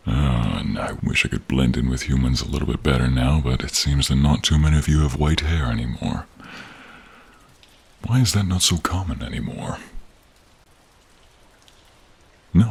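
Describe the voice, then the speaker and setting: A man playing a gentle, protective male dragon in human form, speaking tenderly and haltingly in a deep, gravelly, husky voice for an audio role-play. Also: heavily acted. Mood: bashful, musing. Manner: tender, halting, hushed, drawn-out phrasing. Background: rain and cave ambience.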